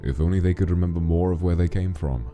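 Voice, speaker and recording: An actor voicing a big, gentle, fatherly monster king who muses solemnly and tenderly in a comic dub. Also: natural voice. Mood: pensive. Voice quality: deep, mellow. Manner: measured, musing, tender. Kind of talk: scripted narration in a comic dub. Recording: music behind the voice.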